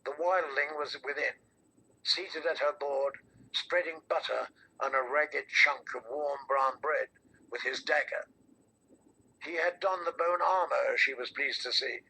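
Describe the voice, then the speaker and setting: A man with a raspy voice reading a scripted fantasy novel as an audiobook narrator. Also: a little high, fairly even intonation, measured pace, pushed and bright, poor audio.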